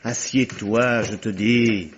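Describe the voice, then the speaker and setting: A man's voice: on the low side, steady tone, takes it slowly, a little hiss or hum. An actor playing an impatient, domineering young man in a French radio mystery play, exasperated and insistent with his friend. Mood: curt, irritated. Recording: dull, muffled vintage radio recording.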